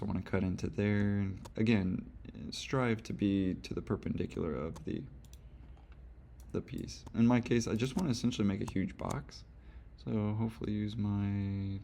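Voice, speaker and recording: Young guy kinda losing his voice, gravelly low tone, rambly chill tutorial talk with clicks and typing behind. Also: slight background noise. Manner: casual, rambling, self-correcting with fillers. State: hoarse. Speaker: instructor. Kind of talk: screen-recorded software tutorial.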